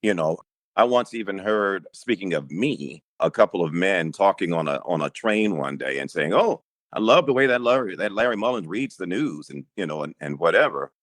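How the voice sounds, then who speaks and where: Deep-voiced male radio news anchor. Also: moderate pace, no echo. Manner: storytelling, emphatic, animated asides. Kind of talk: casual interview talk.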